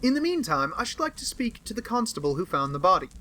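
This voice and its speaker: A man with an American accent voicing a sharp, sardonic gentleman detective who speaks in a dry, measured way, in an audiobook reading. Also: high-pitched, big pitch swings, voice pushed up, exaggerated voice.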